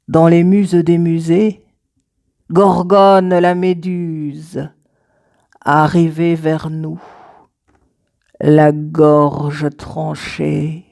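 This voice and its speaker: Female poet for recited verse: slightly low, dark and mellow, deliberate phrasing with weighty pauses. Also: vocal fry. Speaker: poet reading own work. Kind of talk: slow recited poetry reading.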